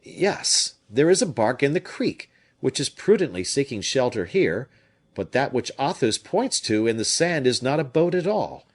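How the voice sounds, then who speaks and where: A man's voice: mid-range voice, sing-song, moderate pace, husky, pitched above the performer's own voice. A man reading an audiobook, voicing a blunt, shrewd male musketeer captain who speaks frankly and with animation. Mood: brisk.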